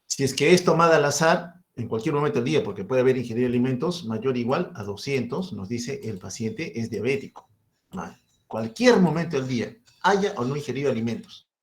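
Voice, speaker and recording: A man's voice: mid-range voice, expressive, a little fast. A man in his fifties with a Peruvian Spanish accent, giving a medical lecture and asking and answering his own questions. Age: 50s.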